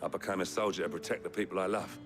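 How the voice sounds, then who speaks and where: Male soldier voiced by an actor: low pitch, gallant, practical, plain-spoken, game drama. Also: fairly even intonation, racing, over music, natural voice. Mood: gallant, steady. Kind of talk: video game dramatic acting.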